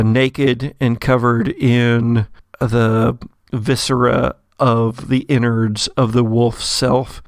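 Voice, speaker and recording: A man narrating a tabletop roleplaying game as game master, telling the story in a deliberate, dramatic way. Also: mid-range voice, smooth, no echo, studio quality.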